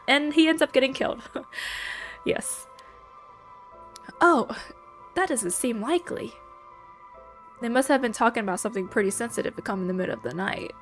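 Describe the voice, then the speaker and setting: Stuffy-nosed woman gamer, faint music. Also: fairly high pitch. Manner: reads lines and then comments playfully. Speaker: let's play gamer. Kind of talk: reading game dialogue aloud with reactions.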